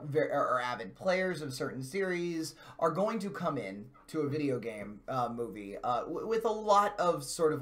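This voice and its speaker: A man co-hosting a casual review discussion, wordy and careful, with stop-start phrasing as he qualifies his points. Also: mid-range voice. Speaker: co-host of a video game and movie show.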